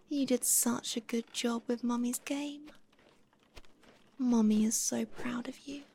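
A young woman whispering softly and breathily into a close microphone in an intimate, doting audio roleplay. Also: high voice, vocal fry, a little hiss or hum.

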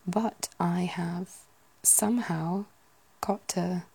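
A British woman in her thirties whispers softly, musing and correcting herself as she goes. Her voice is gravelly with fry and husky, breathy texture. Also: fairly low pitch, steady tone, extremely slow, poor audio. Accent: British English. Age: 30s. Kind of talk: whispered ASMR chat.

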